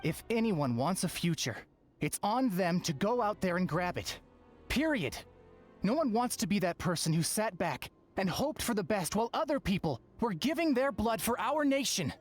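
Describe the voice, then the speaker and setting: A fiery young game warrior with a medium-pitched, animated delivery. Blunt, defiant and emphatic, heard over faint music. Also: female voice, moderate pace. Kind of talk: video game voice acting.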